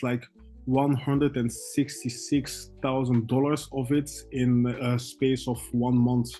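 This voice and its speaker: Mellow-voiced young man from Belgium. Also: mid-range voice. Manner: halting, many restarts and fillers, second-language English. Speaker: guest forex trader. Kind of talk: casual interview chat.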